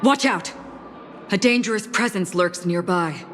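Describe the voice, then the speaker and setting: A woman's voice: medium pitch, unhurried, a little hiss or hum, lightly acted. A woman playing a composed, solemn, protective female warrior with formal speech in a video game. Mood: urgent warning. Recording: reverberant, loud game music behind.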